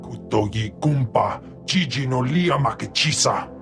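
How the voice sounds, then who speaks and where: A man's voice: mid-range voice, expressive, a little slow, music in the background, close to an everyday voice. Man voicing a stern alien master, clipped syllables. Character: stern alien Jedi master speaking an invented alien tongue. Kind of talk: video game cutscene acting.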